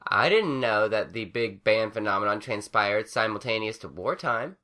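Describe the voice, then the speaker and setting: A man's voice: fairly low pitch, lively intonation, moderate pace, bright, forceful tone, voice pushed up. A man voicing a refined young pegasus mare who talks in a prim, formal way.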